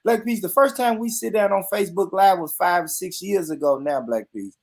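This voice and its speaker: A man's voice: high voice, normal speed. A man hosting an online panel talk in loose slang, welcoming guests and asking questions. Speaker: host.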